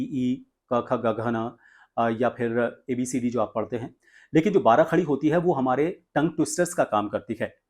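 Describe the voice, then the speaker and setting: A man with a mid-pitched voice teaches as a voice coach. He explains in an instructional tutorial style, taking the listener through things step by step. He is from India and presents directly to his viewers. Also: a little mellow, breathy edge.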